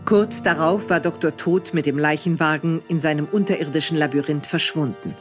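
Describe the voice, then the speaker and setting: A man with a fairly high voice reads scripted narration for a horror radio play. Faint music plays behind him. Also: fairly quick.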